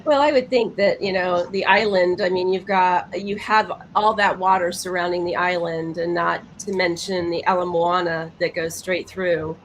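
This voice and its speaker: A woman's voice: on the low side, fairly even intonation, normal speed, few pauses, a little hiss or hum, rough recording. A woman in casual panel chat, speaking emphatically and often repeating herself for weight.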